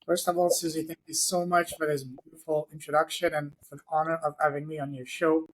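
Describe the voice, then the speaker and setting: A man's voice: fairly high pitch, little pitch movement, fairly quick, low-quality recording. Earnest man from France, on a call. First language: not English. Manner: earnest storytelling with many "uh" fillers. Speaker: guest. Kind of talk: online interview. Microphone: video call.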